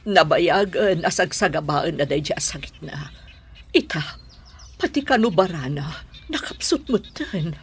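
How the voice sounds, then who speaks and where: A woman's voice: deep voice, expressive, unhurried, a little hiss or hum. A woman with a Filipino accent playing a concerned, practical middle-aged mother in a radio drama. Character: older mother, concerned, giving her children practical advice. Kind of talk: Ilocano radio drama acting.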